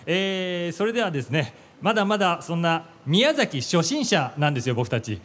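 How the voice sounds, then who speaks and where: A man's voice: a little high, sing-song, moderate pace, a bit bright. An actor playing a good-natured, easily flustered male office worker hosting a live radio drama event. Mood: flustered, joking. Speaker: host and leader of the team. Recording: live event with music behind.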